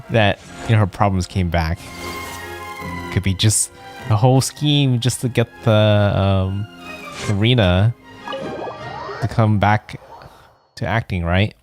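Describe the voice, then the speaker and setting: A man streaming a video game, giving casual let's play commentary. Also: on the low side. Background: faint game music.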